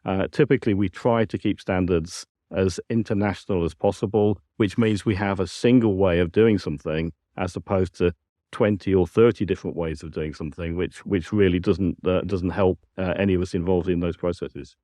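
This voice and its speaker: A middle-aged or older man with a British accent, answering interview questions in a measured, explanatory way with some hesitant repetition. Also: sits low, fairly even intonation, warm tone, no room sound, clean studio sound. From United Kingdom. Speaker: technical director, interview guest.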